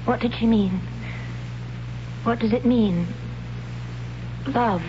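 A woman's voice: a little high, slow pace. An actress playing a naive, tender, anxious young tribeswoman in an old radio drama. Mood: hesitant tenderness. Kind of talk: 1970s radio drama acting. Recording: muffled, noisy old radio recording.

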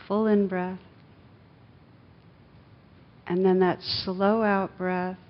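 A woman leading a guided meditation as the teacher, speaking in soothing, measured short phrases with pauses. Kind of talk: guided meditation, slow instructive speech. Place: a room with a live group. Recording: dull lo-fi recording, clear background rumble noise.

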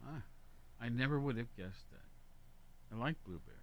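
A man co-hosting a casual talk, reading humorous lists aloud deliberately and emphatically, with offhand asides. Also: medium pitch, lively intonation. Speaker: talk show co-host.

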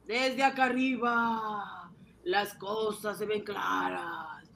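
A high-pitched, bright old male ghost calls from the beyond, acted out by a man. He draws out his exclamations in a quavering voice, in the manner of a homemade radio drama. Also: steady tone, drawn-out words, gravelly, exaggerated voice. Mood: eerie, lamenting. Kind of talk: amateur radio drama acting.

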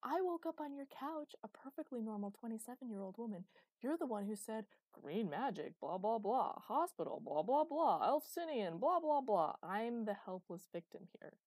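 A woman's voice: mid-range voice, a little fast, warm tone, no echo, pitched above the performer's own voice, close to an everyday voice. Woman acting a stubborn, indignant young woman. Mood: indignant, sarcastic. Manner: defiant, sarcastic, emphatic. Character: stubborn, indignant mortal woman of twenty-seven.

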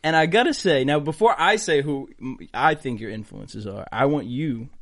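Energetic male radio host, dry studio. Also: mid-range voice, normal speed, dry recording. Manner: energetic, slangy. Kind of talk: casual interview hosting.

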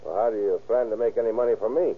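An American actor playing a straightforward, wary but kindly boat captain in an old radio crime drama. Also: male voice, medium pitch, very fast, lightly acted. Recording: tinny, noisy old radio recording.

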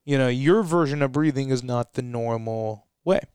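A man's voice: medium pitch, normal speed, a little mellow, clear, resonant tone, no room sound, studio quality. A young adult man co-hosting a casual talk show, chatty and rambling, laughing at himself now and then. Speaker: co-host. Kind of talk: casual chat.

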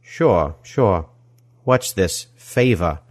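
A man voicing a smooth, sleazy, flamboyant villain with an oily, drawn-out manner in an audiobook. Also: deep voice, animated, no echo, studio quality, exaggerated voice. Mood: silky, gushing.

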